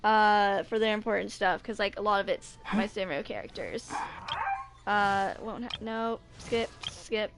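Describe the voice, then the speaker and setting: A woman in her twenties chatting casually and haltingly to herself during gameplay, with game music behind her. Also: medium pitch, noisy background. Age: 20s. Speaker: gaming video creator. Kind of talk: casual off-the-cuff gameplay chat.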